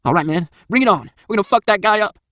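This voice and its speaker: A man's voice: sits high, expressive, racing, pitched above the performer's own voice. A man voicing an aggressive, rowdy young thug who is eager for a fight, in an audiobook story. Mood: hyped.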